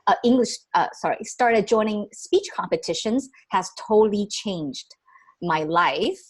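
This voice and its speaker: A woman's voice: mid-range voice, unhurried, husky. Young woman, native Chinese speaker, from Taiwan, chatty, laughing, restarts sentences. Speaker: guest. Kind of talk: casual interview chat.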